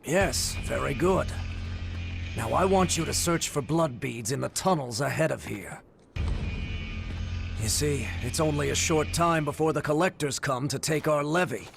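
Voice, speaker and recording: Smug gang boss in a game, creaky voice, fake polite and menacing, music behind. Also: male voice, a little high, unhurried. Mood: smug, coercive. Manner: condescending, mock-courteous. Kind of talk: video game cutscene acting.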